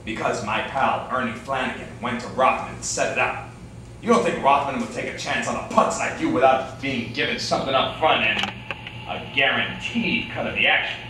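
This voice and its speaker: A man's voice: medium pitch, normal speed, natural voice. A man playing a pushy, menacing gangster fixer in a staged play reading. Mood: scornful. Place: indoor room, live staged reading. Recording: echoey room, distant mic, faint noise.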